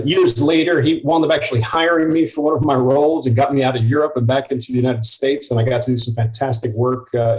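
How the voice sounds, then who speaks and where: A man's voice: medium pitch, darkish tone, rough recording. A man co-hosting a business podcast, introducing a guest in a chatty way with "um" and "you know" fillers. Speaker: podcast co-host. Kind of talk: casual interview hosting.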